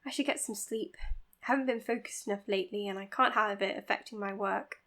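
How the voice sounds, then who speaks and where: A woman's voice: medium pitch, fairly quick, a bit bright, natural voice. An actress playing an overworked, self-blaming woman doctor in a naturalistic audio drama, speaking precisely, formally and earnestly. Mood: tired, clinical. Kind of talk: naturalistic audio drama, dictated case notes and dialogue.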